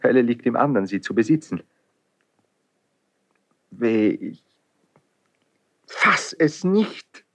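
An actor playing a passionate, anguished young prince in love in a classical radio drama. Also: male voice, medium pitch, sing-song, takes it slowly, long pauses, exaggerated voice. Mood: despairing. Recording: 1970s studio radio play.

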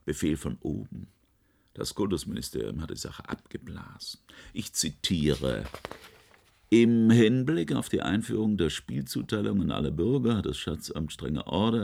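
Gloomy gravelly-voiced cultured official. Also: male voice, low voice, darkish tone, heavily acted. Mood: friendly, regretful. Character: gloomy, cultured male library official foreseeing decline. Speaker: radio drama actor. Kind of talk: German science-fiction radio play acting.

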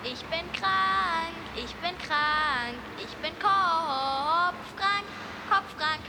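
An actress playing an eerie, ailing figure in a radio drama, chanting plaintively in drawn-out repetitions. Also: female voice, very high-pitched, noisy background, full character voice.

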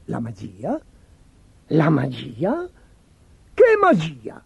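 A man's voice: high-pitched, animated, measured pace, warm tone, no room sound, pitched above the performer's own voice. A man voicing a vain, imperious, spoiled storybook emperor in a petulant, commanding, theatrical manner. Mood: excited. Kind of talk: storybook character voice.

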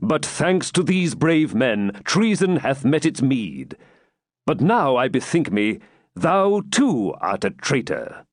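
A man's voice: mid-range voice, very animated, moderate pace, no room sound, studio quality, voice pushed up. A man voicing a proud, hearty warrior king in a dramatic storybook reading, with grand, commanding, archaic speech.

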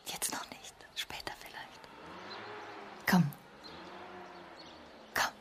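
An actress playing a seductive, teasing lover in a German radio crime drama, intimate in manner. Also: female voice, drawn-out words, whispered. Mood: intimate, reluctant.

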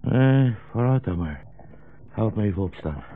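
An actor playing a weary old blind rabbi and war refugee in a solemn manner, in 1960s radio drama style. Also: male voice, lowish voice, expressive, slight background noise. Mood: gently wry. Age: older. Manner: solemn, slow biblical declaiming. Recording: muffled 1960s radio broadcast recording.

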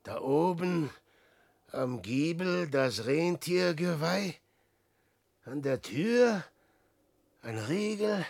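An actor playing a lone male wanderer coming upon an old hut, speaking verse slowly and haltingly in a radio play. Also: a little high, gravelly, dry recording, full character voice. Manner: slow, halting verse delivery.

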